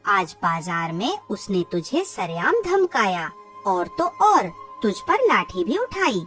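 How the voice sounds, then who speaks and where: A woman playing a poor, loving, worried mother in a cartoon, tender, earnest and expressive. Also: mid-range voice, slow, distant mic, soft background music. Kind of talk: cartoon moral-tale dialogue.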